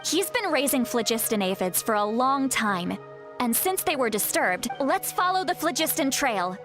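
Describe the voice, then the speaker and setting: A woman voicing a kindly elderly shaman in a video game who strives to sound youthful, chatty, self-assured and gently guiding. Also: sits high, big pitch swings, normal speed, over music. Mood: fond.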